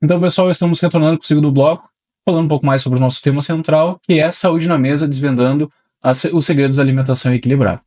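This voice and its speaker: A man hosting a radio podcast, leading segments briskly and affirming his guest. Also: on the high side, steady tone, a little mellow, soft background music. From not from the local region. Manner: friendly, affirming, brisk segues. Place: radio studio.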